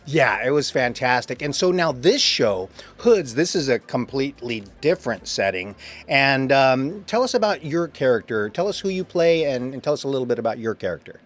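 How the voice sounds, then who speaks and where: A man's voice: medium pitch, big pitch swings, normal speed, hardly any pauses, a bit bright, soft background music. A man interviewing someone casually, with an enthusiastic, encouraging way of talking.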